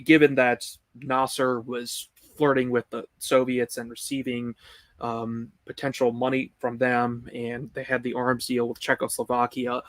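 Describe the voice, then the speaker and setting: A man guest explains history at a steady, measured pace. His voice stays level with little melody, and the sound is dry and close, with no room ring. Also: mid-range voice, dry recording. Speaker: guest writer. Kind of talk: casual live-stream discussion.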